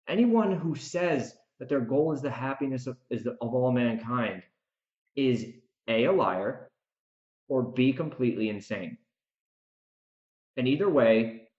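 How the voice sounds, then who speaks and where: A man hosting a podcast as its author, giving an unscripted, opinionated monologue, emphatic, with repeated words and dramatic pauses. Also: mid-range voice, reverberant, lo-fi sound.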